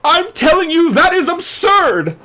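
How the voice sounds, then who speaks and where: A man voicing a theatrical, easily flustered head mage of a magic school, excitable and dramatic. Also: very high voice, a little slow, voice pushed up, heavily acted. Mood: flustered, protesting.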